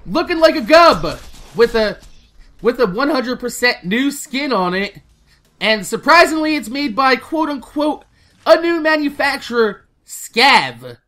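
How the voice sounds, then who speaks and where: Female gaming host: bright, forceful, fairly high voice, casual and animated, loose informal delivery with swearing. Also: a little slow. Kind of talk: casual excited game news commentary.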